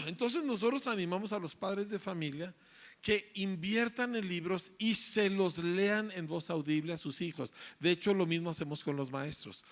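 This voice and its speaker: A man speaks from the front of a hall, lecturing parents and teachers in an emphatic, driving way. He repeats his key phrases and checks in with his listeners through tag questions. His voice sits somewhat high for a man. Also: moderate pace. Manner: emphatic, repetitive rhetorical lecturing with frequent "¿verdad?".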